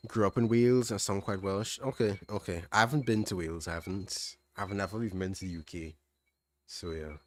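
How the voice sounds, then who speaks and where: Low-voiced Trinidadian man, relaxed livestream chat. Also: fairly quick. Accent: Trinidadian, fairly standard. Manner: relaxed, thinking aloud with restarts and soft laughs. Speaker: livestream host answering chat. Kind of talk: casual livestream Q&A.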